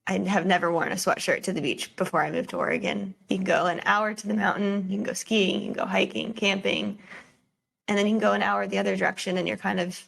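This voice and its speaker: A woman's voice: medium pitch, fairly even intonation, quick pace, creaky, fairly bright tone, rough recording. A woman hosting a casual finance podcast, upbeat and welcoming as she chats with a guest. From Florida. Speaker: podcast host.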